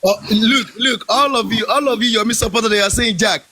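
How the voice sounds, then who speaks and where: A man's voice: sits high, brisk, pushed and bright. A man arguing heatedly on a live stream, lecturing and repeating his point. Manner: preachy, insistent, repeats himself. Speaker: debate participant.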